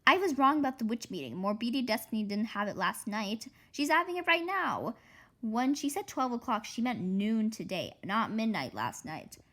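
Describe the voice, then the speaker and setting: Woman as imaginative, bossy kid heroine for a storybook: urgent, insistent, very animated delivery. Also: fairly high pitch, normal speed. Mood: excited. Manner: insistent, urgent, sure of herself. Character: bossy, excitable, imaginative young girl schemer. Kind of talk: children's book read-aloud character voice.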